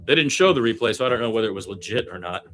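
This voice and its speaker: A man with a fairly low voice does amateur radio play-by-play of basketball. He gets animated on the plays and drifts into wry, musing, conversational asides as a fan. Faint music sits behind his voice. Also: rapid-fire. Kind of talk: live basketball commentary with casual rambling asides.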